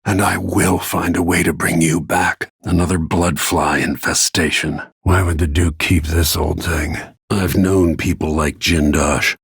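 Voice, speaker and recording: A brooding middle-aged assassin from a video game, speaks in a low, heavily rasping voice. He is terse, grim and deliberate, set on revenge. The sound is close and dry. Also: level tone, fairly bright tone, no echo, heavily acted. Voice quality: gravelly, husky.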